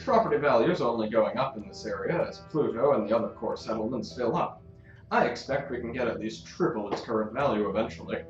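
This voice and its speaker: A man playing a formal, calculating council master who speaks in measured, diplomatic phrases in a science-fiction audio drama. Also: medium pitch, lightly acted. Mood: calm, persuasive. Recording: distant, echoey, slightly dull, music behind.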